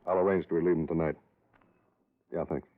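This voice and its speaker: A gruff American police captain played by a male actor with a deep voice and a quick, commanding delivery. He is curt and businesslike in a vintage radio crime drama, heard through thin, narrow-band audio. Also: steady tone, slight background noise, lightly acted.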